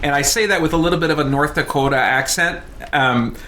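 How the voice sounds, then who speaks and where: A man streaming video games, chatting casually in a loud, expressive way. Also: fairly high pitch, fairly quick, slight background noise. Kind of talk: casual chat on a livestream.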